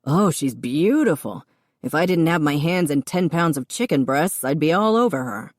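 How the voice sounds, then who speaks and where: A woman voicing an excitable, chatty man who works as a cook. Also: deep voice, moderate pace, dry recording, clean studio sound.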